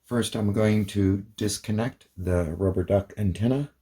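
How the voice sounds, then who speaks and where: A man's voice: fairly low pitch, measured pace, mellow, slightly rough. A man presenting an informal hands-on demonstration as a hobbyist, explaining things plainly step by step.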